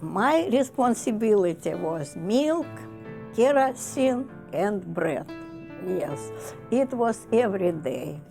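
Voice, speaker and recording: A woman in her eighties from Russia speaks haltingly in non-native English grammar. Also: medium pitch, big pitch swings, creaky, warm tone, soft background music. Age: 80s. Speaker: Holocaust survivor, interviewee. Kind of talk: testimony interview.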